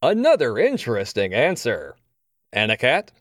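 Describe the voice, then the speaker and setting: Man playing a lively, slick game show host. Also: medium pitch, moderate pace, no echo. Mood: slick, teasing. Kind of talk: game show hosting patter. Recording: noisy studio crowd behind.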